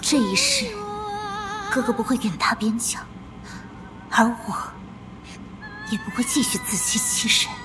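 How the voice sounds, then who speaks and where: A woman's voice: fairly high pitch, huge pitch swings, measured pace, pauses often, over music. A reborn young noblewoman. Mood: wistful. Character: young noble woman reborn, guarded and resolved. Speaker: heroine. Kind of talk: Chinese animated drama dubbing.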